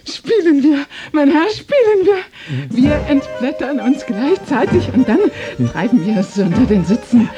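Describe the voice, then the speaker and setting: An actress playing a playful, seductive, mocking woman in a radio play, speaking in a teasing, deliberate, theatrical way. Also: high-pitched, big pitch swings, muffled recording, music in the background. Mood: playful, bold. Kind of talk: German radio play acting.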